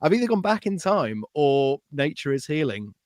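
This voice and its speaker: A man's voice: on the high side, big pitch swings, normal speed, no echo. Laid-back Welsh man, dry close sound. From Wales (Anglesey). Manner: laid-back, rambling, self-deprecating, many "like" fillers. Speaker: guest, pedal maker. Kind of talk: casual podcast chat.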